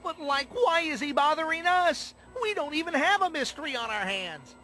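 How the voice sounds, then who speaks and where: Cowardly cartoon hippie dude, whiny n skittish, super high voice, music behind. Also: male voice, expressive, normal speed, pushed and bright, in a large hall, exaggerated voice. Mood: nervous. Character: cowardly, hungry young slacker man, goofy cartoon sidekick. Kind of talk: cartoon game voice acting.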